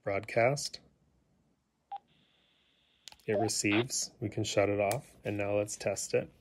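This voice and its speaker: A man's voice: lowish voice, steady tone, slow pace. A man giving a casual, unscripted gadget tutorial, explaining things in a hesitant, rambling way. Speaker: hobbyist demonstrating a gadget. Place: indoors in a living room.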